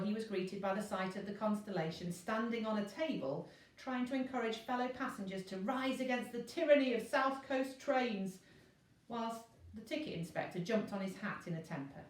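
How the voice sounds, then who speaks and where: A woman reads a children's novel aloud like a teacher to a class, with expressive narration. Her voice is mid-pitched, in a roomy echo and a little distant from the microphone. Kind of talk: expressive children's story reading.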